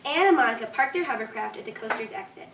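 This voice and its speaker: A woman's voice: on the high side, lively intonation, fast talker, vocal fry. A woman reading stage directions as scripted narration at a live staged reading. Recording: echoey room, distant mic, faint noise.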